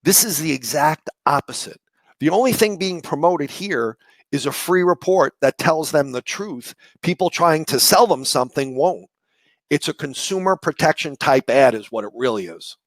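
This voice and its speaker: A man with a slightly raspy, hoarse voice presenting a live online marketing session, conversational and explanatory with rhetorical questions. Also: on the high side, expressive, moderate pace, dry recording. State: hoarse from shouting the day before.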